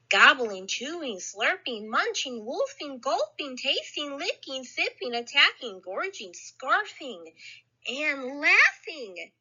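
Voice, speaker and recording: A woman's voice: a little high, sharp tone, husky, lo-fi sound. A woman reading a picture book aloud to children at story time, playfully acting out sounds and exclamations. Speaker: library storyteller.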